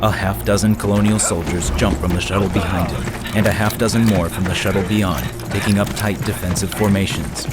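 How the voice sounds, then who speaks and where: A man's voice: low voice, steady tone, brisk, hardly any pauses. A man giving scripted dramatic narration for a sci-fi audio drama. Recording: loud music behind the voice.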